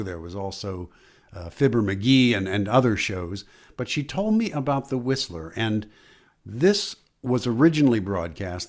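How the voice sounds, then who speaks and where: A man's voice: on the low side, a little mellow. A middle-aged or older man, a voice actor, speaking casually as he shares personal recollections. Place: his own studio.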